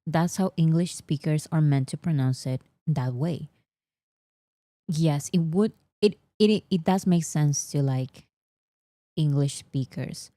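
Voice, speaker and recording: A woman's voice: sits low, slow, mellow, no echo, studio quality. A young woman whose first language is Spanish, hosting a casual livestream chat, teasing and mock-boastful, laughing often. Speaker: host.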